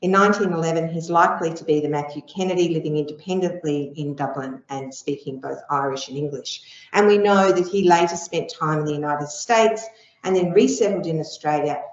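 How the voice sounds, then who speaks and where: Low-pitched middle-aged woman lecturing, steady and explanatory, dull lo-fi sound. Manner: measured, explanatory, with frequent ums. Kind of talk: academic lecture with slides. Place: lecture room. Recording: echoey room, dull lo-fi sound.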